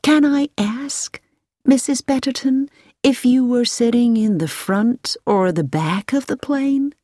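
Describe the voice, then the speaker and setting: A woman's voice: on the high side, dark tone, dry recording, clean studio sound. A woman reading as a chatty middle-aged American lady. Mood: friendly. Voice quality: pleasant voice. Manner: slow, inquisitive and sociable.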